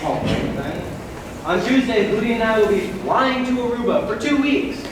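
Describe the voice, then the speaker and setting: A man's voice: very high voice, animated, moderate pace. A young man playing a casual, unrepentant cheating boyfriend in a school stage comedy. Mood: defiant. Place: stage in a hall, far microphone. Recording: distant echoey stage recording, noisy.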